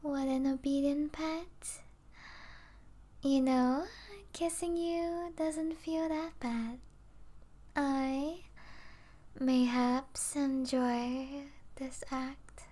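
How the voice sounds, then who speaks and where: Woman acting as a vampire princess, high voice, very slow pace, possessive, seductive, soft teasing ASMR roleplay. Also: fairly even intonation. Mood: tender, possessive. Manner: slow, teasing, soft delivery with intimate whispers.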